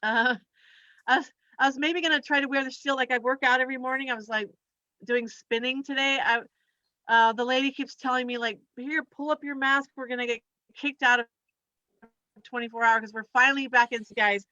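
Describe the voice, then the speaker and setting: A woman performs loose, conversational stand-up in a bright, forward voice. She talks haltingly, drifting between thoughts and giggling at her own remarks. The sound is dry and slightly dull, like a lo-fi recording. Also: high-pitched, steady tone, dry recording. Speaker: stand-up comedian and chiropractor. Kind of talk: rambling conversational stand-up set.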